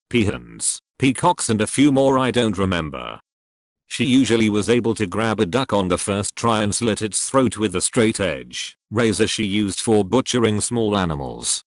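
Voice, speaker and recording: A man narrating, reading forum posts aloud in a steady, plain read-aloud manner. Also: on the low side, no room sound, studio quality.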